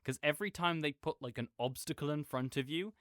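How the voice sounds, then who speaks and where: A man's voice: on the high side, a bit bright, no room sound, studio quality, close to an everyday voice. Rambling, opinionated gamer roommate, played by a man. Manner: rambling, self-correcting, halting, apologetic asides. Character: opinionated gamer roommate ranting good-naturedly about games. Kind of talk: rambling casual rant, sleep-aid audio roleplay.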